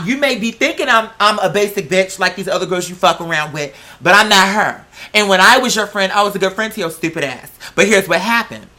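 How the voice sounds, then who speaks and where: A man's voice: high voice, pushed and bright. A man telling personal stories in a casual, slangy rant, with a sassy, confrontational and emphatic manner.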